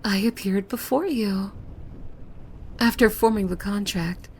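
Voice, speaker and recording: A woman playing a possessive demon maid in an ASMR roleplay, devoted and softly menacing, with hushed formal speech that often slips into breathy whispers. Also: medium pitch, a little slow, slight background noise, close to an everyday voice. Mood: devoted, softly sinister.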